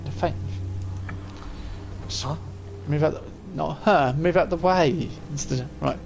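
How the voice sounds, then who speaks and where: A man's voice: fairly high pitch, measured pace, vocal fry, a little hiss or hum. A man in his forties giving casual live-stream commentary as he plays, chatting and thinking aloud. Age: 40s.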